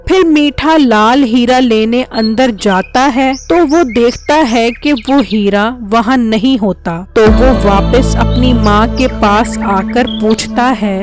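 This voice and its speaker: A woman's voice: a little high, takes it slowly, hardly any pauses, music in the background. A woman narrating a scripted children's story as the storyteller.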